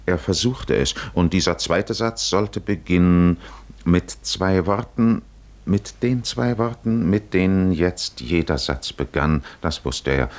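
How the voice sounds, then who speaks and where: A man narrating a 1950s literary radio play in a musing, measured manner with deliberate pauses. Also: deep voice, animated.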